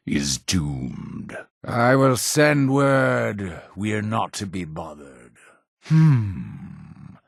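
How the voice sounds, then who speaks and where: A man's voice: mid-range voice, vocal fry, husky, no room sound, studio quality, full character voice. An actor playing a paranoid, imperious male cult leader in a video game, with a theatrical, preachy and menacing delivery. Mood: defeated, bitter, then humble, courteous. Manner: theatrical, preachy, menacing, swinging from hushed to shouting. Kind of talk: dramatic video game voice acting.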